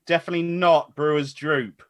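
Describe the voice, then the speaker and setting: A man's voice: on the high side, steady tone, normal speed, close to an everyday voice. A man playing a good-natured, bantering pub regular in a radio comedy. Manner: bantering, chuckly. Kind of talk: radio comedy play reading.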